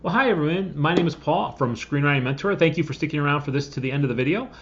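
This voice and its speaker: A man's voice: fairly high pitch, lively intonation, rapid-fire. A man in his forties giving a casual, explanatory review to camera as a video host, recorded in a room at home. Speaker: host of a screenwriting software review channel. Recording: slightly dull webcam audio.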